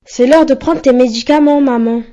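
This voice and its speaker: A woman's voice: high-pitched, normal speed, dark tone, muffled recording, natural voice. A woman voicing a polite, caring boy who looks after his sick mother in a cartoon. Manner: polite, apologetic.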